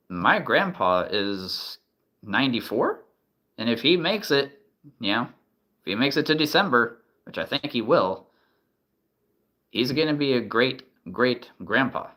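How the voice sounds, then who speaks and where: A man's voice: mid-range voice, very animated, a little slow, pauses often, pushed and bright. A man in his thirties chatting casually to the camera, thinking aloud with many ums and restarts. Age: 30s. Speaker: vlogger.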